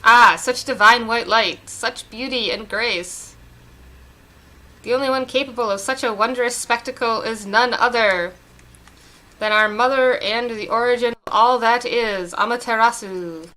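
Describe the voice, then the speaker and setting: A woman voicing a solemn female tree spirit from a video game, reading formal dialogue slowly and earnestly. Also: on the high side, bright, forceful tone, poor audio.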